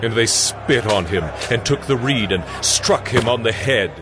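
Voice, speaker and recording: A man's voice: mid-range voice, unhurried, very noisy. A man narrating scripture from a script in a dramatized audio production.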